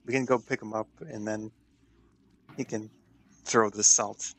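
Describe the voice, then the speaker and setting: A man's voice: on the low side, steady tone, measured pace, a bit bright, low-quality recording. Man chatting casually as a game player. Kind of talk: casual chat during a tabletop game.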